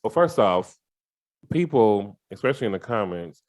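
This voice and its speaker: A man with a fairly low voice and an American accent speaks as a podcast host. He talks in a casual, conversational way and is supportive of his guest. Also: very animated, normal speed, darkish tone. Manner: supportive, agreeing. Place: studio.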